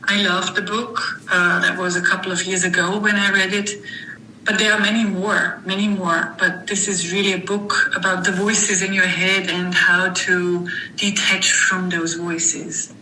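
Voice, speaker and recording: A woman speaking as an interview guest, reflecting thoughtfully in halting phrases with pauses. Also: fairly low pitch, little pitch movement, sharp tone. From Germany. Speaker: interview guest, author and coach. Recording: roomy echo, faint background noise.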